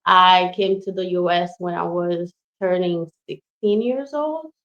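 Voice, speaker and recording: A woman's voice: fairly low pitch, a little slow, fairly bright tone, muffled recording. A woman in her thirties from Haiti, chatting casually and effusively about herself as an interview guest. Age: 30s. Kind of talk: casual personal chat.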